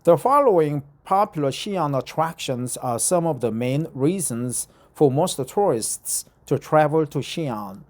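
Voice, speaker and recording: A man's voice: on the high side, expressive, unhurried, hardly any pauses. A man from Korea speaking English with a Korean accent, chatting and then carefully reading an article aloud outdoors, with frequent hesitations. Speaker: vlogger. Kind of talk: casual talk and reading aloud. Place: outdoor courtyard bench in front of a church.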